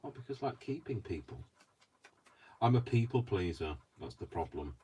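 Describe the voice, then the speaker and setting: A man's voice: lowish voice, big pitch swings, moderate pace, dark tone. A man from Coventry with a mild, slightly posh British accent, chatting hesitantly and self-deprecatingly while he crafts at home. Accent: mild posh British English. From Coventry, England. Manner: rambling, hesitant, self-deprecating, many ums. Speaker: craft vlogger. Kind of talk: casual chat while crafting. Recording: distant mic with clear background noise.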